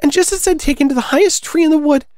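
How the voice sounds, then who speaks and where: A woman's voice: high-pitched, fast, darkish tone, dry recording, clean studio sound, pitched above the performer's own voice, lightly acted. A woman voicing a frantic, scolding mother pigeon from a storybook, with desperate, shrieking complaints. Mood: shrill, exasperated. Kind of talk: storybook character voice.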